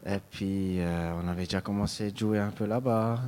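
A man, a musician answering interview questions in a halting way. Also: on the low side, steady tone, darkish tone, a little hiss or hum. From Switzerland. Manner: halting, with many fillers and restarts.

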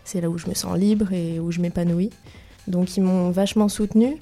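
Young woman, lowish flat voice, lots of ums, talks about herself, faint music under it. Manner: hesitant, many pauses. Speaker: cabaret dancer guest. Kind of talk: personal interview answers.

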